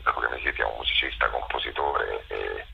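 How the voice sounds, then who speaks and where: A man with a gravelly, husky voice giving reflective interview answers, often pausing to search for his words. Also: extremely low pitch, highs cut off. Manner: halting, searching for words, with many pauses. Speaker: interviewed theatre director and performer.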